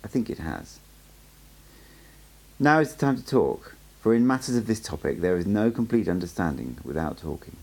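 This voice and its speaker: A man voicing an old male sorcerer teacher who speaks calmly and wryly, in a measured, instructive way. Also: fairly low pitch, vocal fry, breathy edge, heavily acted, low-quality recording. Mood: earnest.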